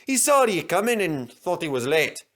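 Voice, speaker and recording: A man's voice: high voice, big pitch swings, normal speed, a bit bright, voice pushed up. A man voicing an anxious, guileless Scottish twin steam engine who talks in a hesitant, innocent way. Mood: feigned innocence.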